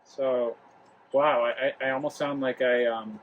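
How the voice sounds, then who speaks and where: A man's voice: medium pitch, steady tone, unhurried, dull audio, a little hiss or hum. A young man from Rhode Island, hosting a casual home product review vlog, talking loosely with lots of fillers and restarts. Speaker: travel vlog host. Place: home, living room near the kitchen.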